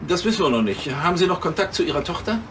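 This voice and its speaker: A man's voice: fairly high pitch, big pitch swings, rapid-fire, a bit bright. Officious policeman over background noise. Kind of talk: TV crime comedy acting.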